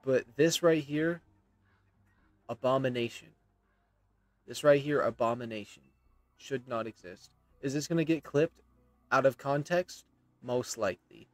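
A man's voice: medium pitch, a little slow, pauses often, dry recording. Opinionated man commentating, close dry sound. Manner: opinionated, emphatic. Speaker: gamer giving commentary. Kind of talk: casual video game commentary. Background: faint game music.

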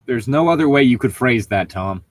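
A man's voice: medium pitch, expressive, moderate pace, no room sound. A man chatting casually with friends and reading aloud, with a wry, joking manner. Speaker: co-host reading a book aloud for commentary. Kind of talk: casual chat and read-aloud among friends.

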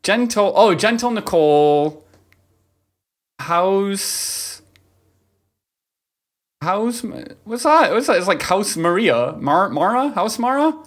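A man's voice: sits high, big pitch swings, slow pace, pauses often, a bit bright. A man in his thirties giving playful, casual reaction commentary, often repeating phrases for emphasis, on a close mic. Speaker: reaction video host. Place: small indoor room, streaming setup. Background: game trailer music.

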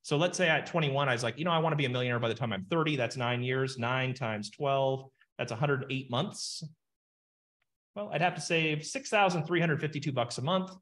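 Animated man teaching money math. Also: medium pitch, brisk. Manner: animated, explains with numbers and asides. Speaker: financial planner. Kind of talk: webinar teaching session. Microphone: webcam video call.

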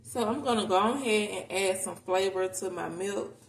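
A woman's voice: mid-range voice, normal speed, creaky. An American woman chatting casually and candidly to viewers as she cooks at home, in an informal way. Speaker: home cooking vlogger. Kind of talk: casual chat while cooking. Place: home kitchen, indoors. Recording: roomy kitchen, noticeable background noise.

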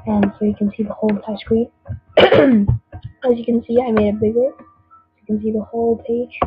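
A woman's voice: fairly high pitch, fairly even intonation, moderate pace, phone-quality sound. Rambling young gamer woman. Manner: rambling, hesitant, many fillers. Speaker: gameplay commentator. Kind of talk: casual let's play commentary. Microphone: computer mic, screen recording. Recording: game music behind.

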